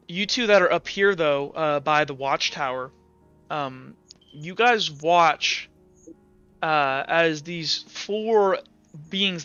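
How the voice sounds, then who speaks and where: A man improvising narration as a game master in a casual, halting way, pausing between phrases. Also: fairly high pitch, pushed and bright, smooth, dry recording. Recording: dull lo-fi audio with background music.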